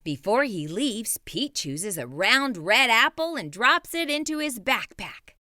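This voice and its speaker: Lively woman reading a kids' book. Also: fairly high pitch, unhurried, no room sound, clean studio sound. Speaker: children's book reader. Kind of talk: read-aloud storytelling.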